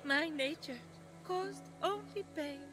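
An actress playing a vain, guilt-ridden goddess of love in a video game, dramatic and self-pitying. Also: female voice, high-pitched, slow pace, fairly bright tone, faint music behind. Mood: remorseful. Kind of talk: video game cutscene acting.

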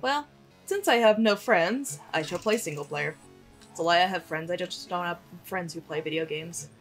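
A woman's voice: fairly low pitch, normal speed, soft background music. A woman giving casual, wry let's play commentary as a gaming streamer, self-deprecating and sweary.

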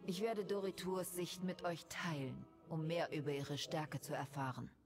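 A woman's voice: sits low, steady tone, moderate pace, faint music behind. A woman voicing a fierce, regal elf priestess and war leader in a video game, solemn and declamatory. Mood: solemn, resolute.

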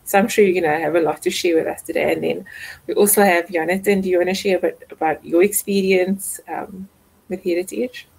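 A woman's voice: on the low side, steady tone, fairly quick, gravelly, darkish tone, slightly rough, low-quality recording. A woman moderating an online panel discussion, friendly and hesitant with many fillers, over a video call.

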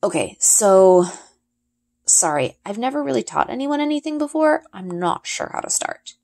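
A woman's voice: mid-range voice, big pitch swings, moderate pace, gravelly, darkish tone, voice pushed up. A woman reads as a young boy in a children's storybook. He is an easygoing, dry-humored friend who explains things casually and a little hesitantly, with varied intonation. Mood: patient explaining.

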